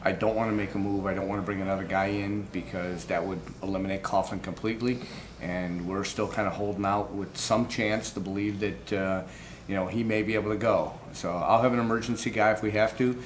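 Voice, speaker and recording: A middle-aged man answering post-game questions as a baseball manager, informal and halting. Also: on the low side, fairly even intonation. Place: indoor press backdrop room. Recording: clearly noisy room background.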